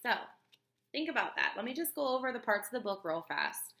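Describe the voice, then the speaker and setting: A woman reading a children's book aloud like a teacher, expressive and emphatic, pausing to explain and put questions to her young listeners. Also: fairly high pitch, creaky. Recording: roomy, echoey room sound.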